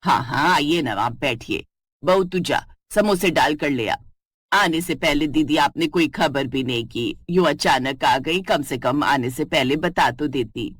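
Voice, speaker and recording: Fussy older mother-in-law for a cartoon, voiced by a woman, lowered, complaining voice. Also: unhurried, husky, dry recording.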